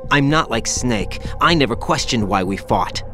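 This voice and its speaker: A brooding young soldier from a video game cutscene, as voiced by a man. He talks quietly and guardedly, in a mid-pitched voice. Game music runs clearly under the dialogue. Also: expressive, moderate pace, lightly acted. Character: young male soldier haunted by a child-soldier past. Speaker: game character.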